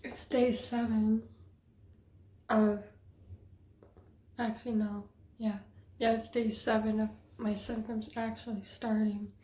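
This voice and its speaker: A woman's voice: on the high side, level tone, takes it slowly, pauses often, dark tone, husky. A woman talking in a casual video diary, hesitant and rambling with many fillers, sometimes dropping to near-whispers. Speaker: vlogger.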